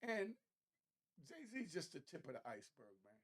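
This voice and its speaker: A man's voice: high voice, huge pitch swings, moderate pace. A man hosting an online show, giving casual, opinionated commentary in conversational street slang.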